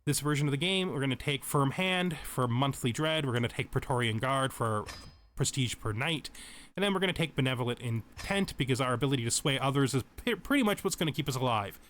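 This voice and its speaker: A man's voice: medium pitch, lively intonation, a little fast, a bit bright, a little hiss or hum. A man giving casual let's play tutorial commentary, explaining game mechanics and thinking aloud as he goes.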